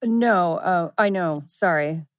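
A woman acts out an easygoing young woman in a teen drama table read. Her voice sits low, casual and unhurried in manner, with a dry, close sound. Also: dry recording.

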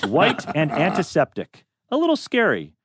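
A man's voice: fairly high pitch, wildly swooping pitch, normal speed, fairly bright tone, breathy edge, dry recording, clean studio sound. A man reading scripted stage directions as narrator at a table read.

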